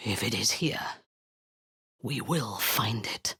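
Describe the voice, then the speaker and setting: An actress voicing a ruthless drow woman commander who leads zealous raids, speaking in a cold, commanding voice with a husky edge that often sinks into a whisper. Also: very deep voice, expressive, slow pace, pushed and bright, dry recording, clean studio sound, full character voice. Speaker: game character. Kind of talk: video game voice lines.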